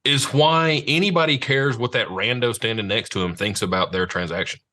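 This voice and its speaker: A man's voice: mid-range voice, fairly quick, bright, forceful tone. A man on a discussion panel, debating conversationally in a blunt, sardonic way.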